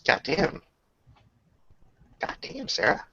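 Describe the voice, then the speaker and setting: A man with a creaky voice narrating a tabletop roleplaying game as game master, halting and pausing mid-sentence over online voice chat. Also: background noise. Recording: dull lo-fi voice chat.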